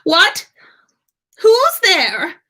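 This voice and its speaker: A woman acts out a haughty teenage wizard boy from a storybook. He is sulky and exasperated, pitched high with big swoops, and he drags out his snarky complaints. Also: huge pitch swings, very slow, bright, forceful tone, exaggerated voice. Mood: sleepy, snarky. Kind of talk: animated fan fiction read-aloud.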